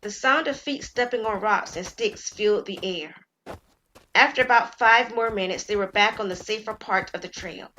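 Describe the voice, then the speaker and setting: A woman storyteller reads a scripted suspense tale at a measured pace. Her delivery is clear and builds gentle suspense, in a voice of middle pitch. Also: slight background noise, rough recording. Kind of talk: scripted narration of an audio drama story.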